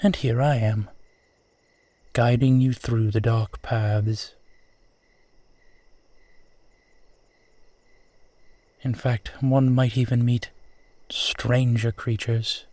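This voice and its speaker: A man playing a proud, amused centuries-old vampire in a roleplay audio, speaking in a measured, theatrical, formal and condescending way. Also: medium pitch, fairly even intonation, dark tone, no room sound, lightly acted. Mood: smooth, teasing. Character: suave 450-year-old male vampire guiding a lost stranger, proud, amused. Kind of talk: slow dramatic roleplay audio monologue.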